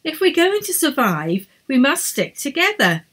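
A commanding little female echidna with a high, bright voice, as a woman reading a storybook puts her on. She swoops up and down in pitch as she gives orders. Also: very animated, unhurried, exaggerated voice. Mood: urgent. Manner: insistent, commanding. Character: bossy sensible female echidna leader.

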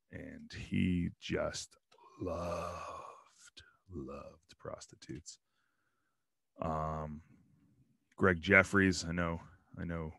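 A man in his thirties hosting a casual solo show, rambling and joking as he opens card packs in a small home room on a boom mic. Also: vocal fry, a bit bright, no room sound, clean studio sound. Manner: rambling, joking asides about players. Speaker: podcast host opening baseball card packs. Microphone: studio mic on boom arm, headphones.

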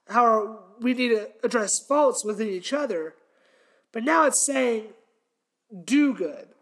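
A man's voice: extremely high pitch, a bit bright. A young man hosting his podcast, teaching a Bible passage in sermon style, earnest and emphatic, repeating his key points. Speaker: podcast host and preacher. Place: small indoor room with a red wall. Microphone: fixed camera, head and shoulders framing.

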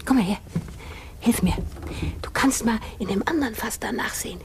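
High-voiced, childlike gentle aunt. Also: female voice, gravelly. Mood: gentle, eager. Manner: girlish, halting, gentle. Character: gentle, childlike, simple-minded aunt, secretive and sweet. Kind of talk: 1950s German radio play acting. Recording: noisy 1950s radio play recording.

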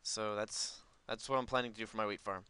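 A man's voice: lowish voice, fairly even intonation, fairly quick, bright, forceful tone, clean tone, no room sound. A man giving casual, unscripted gameplay commentary, rambling as he thinks aloud. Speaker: gaming video host.

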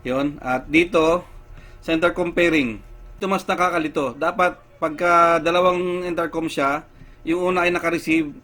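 A man giving a casual step-by-step how-to demonstration, unhurried, with many fillers. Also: a little high, a bit bright. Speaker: tech vlogger.

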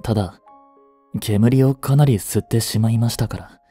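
A man voicing a devoted, protective young butler in a romantic voice drama, frantic while searching a fire and soft and tender at a bedside. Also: mid-range voice, normal speed, slightly rough, faint music behind. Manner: soft, gentle and intimate in the bedside scene.

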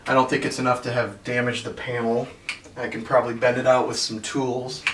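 A man in his thirties chats plainly through a home unboxing, filling his pauses with ums. He is picked up by a camera well across a carpeted living room, so the sound is roomy and a little distant, with faint noise underneath. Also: medium pitch. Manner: relaxed and plainspoken, many ums. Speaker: RV owner reviewing gear. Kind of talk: unboxing review. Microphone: far camera.

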